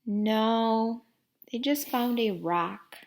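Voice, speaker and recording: A woman reading a children's storybook aloud like a teacher, deliberately, putting questions to young listeners. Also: a little high, creaky.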